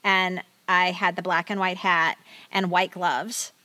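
Bright, dry-miked woman telling anecdotes. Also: fairly low pitch, little pitch movement, takes it slowly, no echo. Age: middle-aged. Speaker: podcast co-host. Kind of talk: casual chat, personal anecdotes.

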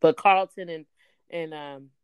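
A woman's voice: deep voice, unhurried, clear, resonant tone, no echo. A woman co-hosting a casual podcast, joking and laughing as she talks. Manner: animated, joking, laughs often. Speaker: podcast co-host. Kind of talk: casual chat.

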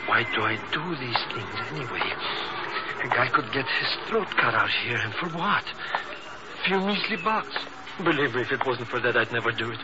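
An actor playing a jittery, cowardly small-time hustler in a 1950s radio drama, stammering and whining nervously. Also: male voice, mid-range voice, natural voice. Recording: noisy, muffled old radio recording.

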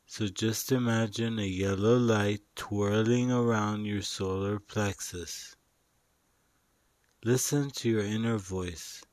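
A man with a lowish voice leads a guided meditation at a very slow pace. He gives soothing instructions in short phrases with pauses between them. The recording is dry and close, with little room sound. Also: fairly even intonation, darkish tone, dry recording.

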